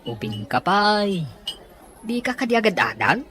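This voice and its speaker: A woman's voice: mid-range voice, sing-song, unhurried, crowd noise, background noise. A woman playing a chatty, obliging office underling in a serial drama, over music. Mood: inquisitive. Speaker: actor in a Filipino serial drama. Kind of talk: acted drama dialogue. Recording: music behind the voice.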